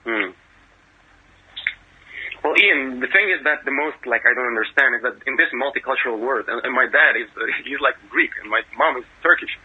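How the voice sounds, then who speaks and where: A man calls in over a thin phone line. He has a Russian-sounding accent and a very husky, breathy voice. His delivery is hesitant. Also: mid-range voice, normal speed. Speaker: phone-in caller. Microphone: telephone.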